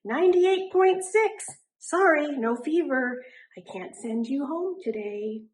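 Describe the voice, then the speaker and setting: A woman voicing a brisk, matter-of-fact school nurse in a children's storybook. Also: sits high, expressive, a little mellow, slight background noise, pitched above the performer's own voice, rough recording.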